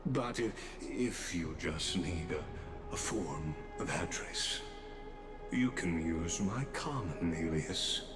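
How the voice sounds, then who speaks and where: An actor voicing an ancient, enigmatic male watcher in a video game, speaking with formal, measured politeness and deliberate pauses. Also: deep voice, lively intonation. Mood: calm, enigmatic. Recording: echoing space, music underneath.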